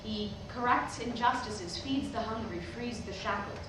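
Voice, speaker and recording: A woman's voice: a little high, lightly acted. A woman playing an eighteen-year-old preacher's daughter, nervous but defiant, giving a hesitant sermon in a staged reading. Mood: earnest, resolute. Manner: halting, hesitant public address with rhetorical questions. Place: indoor hall, recorded from the audience. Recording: very echoey hall, distant microphone, noisy.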